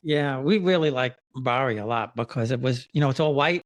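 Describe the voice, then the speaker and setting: A man hosting an interview, speaking in a relaxed, hesitant way and often restarting and repeating words. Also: medium pitch, brisk, dry recording. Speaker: interview host. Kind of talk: casual interview chat.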